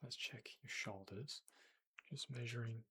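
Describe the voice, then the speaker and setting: Breathy, softly whispering man as a courteous tailor. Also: mid-range voice, heavily acted. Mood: gentle, attentive. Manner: gentle, unhurried, polite. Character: courteous, attentive male boutique tailor assisting a client. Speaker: ASMR performer. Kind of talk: ASMR roleplay, softly whispered and murmured.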